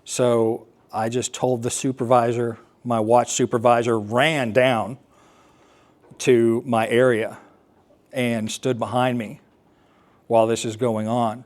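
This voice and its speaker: An American man giving a personal talk, recounting his experience as an air traffic controller in a halting, explanatory way with pauses. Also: medium pitch, flat delivery. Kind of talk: personal storytelling talk to an assembly.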